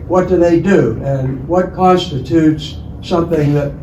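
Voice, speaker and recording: A man in the audience asks his question very slowly, pausing often. His voice sounds muffled and far away. The room is echoey, and noise runs beneath his speech. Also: a little high, mellow. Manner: slow and hesitant, with many "uh"s. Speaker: audience member. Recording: dull, echoey distant mic with noise.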